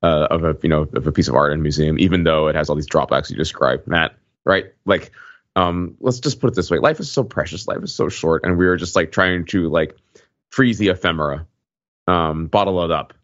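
Deep male voice, podcast co-host, informal halting delivery, dry slightly muffled recording. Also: no echo. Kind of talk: casual conversational podcast talk.

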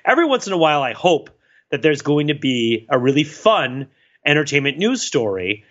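Male podcast co-host, forceful bright tone, wry and wordy, slightly muffled lo-fi track. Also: a little high, very animated, normal speed. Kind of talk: casual podcast chat, storytelling. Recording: dull, lo-fi voice track.

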